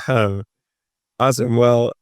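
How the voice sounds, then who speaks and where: A man's voice: mid-range voice, steady tone, clear, resonant tone, dry recording, studio quality. A man hosting a podcast, asking questions in a casual, upbeat, agreeable way. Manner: upbeat, agreeable, quick affirmations. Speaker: podcast host.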